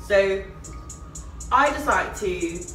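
A young woman telling frank stories during a drinking game, sometimes shouting, at a table in a small apartment. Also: mid-range voice, animated, drawn-out words. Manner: candid storytelling, bursts of shouting. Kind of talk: casual party game chat. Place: small apartment dining room. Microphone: far camera. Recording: echoey room from far off, music behind.

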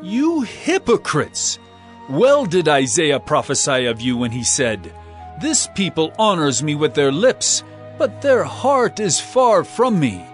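A man's voice: a little high, very animated, slow, faint music behind. An actor playing an authoritative, reproving male teacher in a dramatized scripture reading, with emphatic, declamatory delivery. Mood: stern reproach.

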